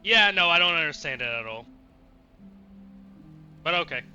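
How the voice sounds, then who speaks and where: A man's voice: fairly high pitch, lively intonation, normal speed, sharp tone, highs cut off, faint music behind. A man doing casual, sweary let's play commentary, reacting with exasperation as he streams. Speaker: video game streamer.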